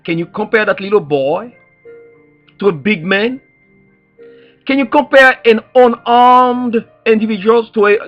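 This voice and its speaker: A man with a Haitian accent speaking as an activist in an impassioned, preacher-like address, emphatic and repetitive, leaning on rhetorical questions. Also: sits high. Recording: dull lo-fi sound, faint music behind.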